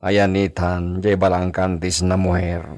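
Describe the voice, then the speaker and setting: Give me a man playing a slow-spoken, proud hacienda master. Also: deep voice, monotone, a little mellow. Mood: cold, bitter. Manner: Spanish phrases mixed into speech. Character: proud hacienda master, indulgent with his little daughter. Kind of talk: Ilocano radio drama acting.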